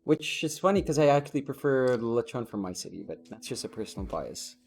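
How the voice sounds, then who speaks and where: Filipino male host, middle pitch, easygoing, reads chat aloud, faint guitar behind. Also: animated, fairly quick, a little mellow. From Philippines. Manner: easygoing, reads out chat messages and answers them. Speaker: podcast host. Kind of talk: casual podcast chat, reading chat messages.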